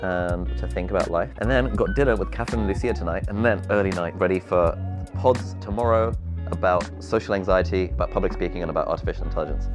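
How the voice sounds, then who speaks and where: A man talking casually to camera in a vlog, chatty and quick, with lots of "like" as filler. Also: sits low, music in the background. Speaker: vlogger and podcast host.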